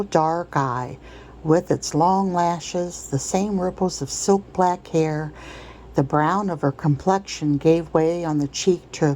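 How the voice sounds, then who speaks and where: Low, mellow woman narrator. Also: a little hiss or hum, rough recording. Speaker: audiobook reader. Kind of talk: literary read-aloud narration, ASMR.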